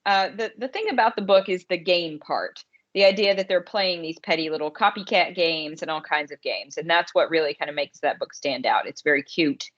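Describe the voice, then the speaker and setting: A woman speaks as a podcast co-host in a casual, conversational style. Her voice sits a little low for a woman. The audio is slightly dull and lo-fi. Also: normal speed, fairly bright tone. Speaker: podcast co-host and romance author.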